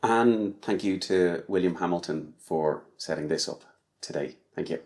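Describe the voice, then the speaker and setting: A man in his forties answering interview questions in a measured way, pausing often with ums. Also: low voice, darkish tone. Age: 40s. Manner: slow, measured, hesitant with ums. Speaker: charity walker.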